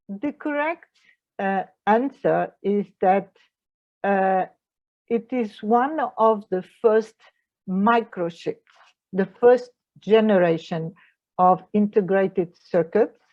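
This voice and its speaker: A woman's voice: medium pitch, muffled recording. A middle-aged woman from Portugal with a Portuguese accent, speaking English as a second language while giving an online lecture as a mediator and trainer, with frequent hesitations and non-native phrasing.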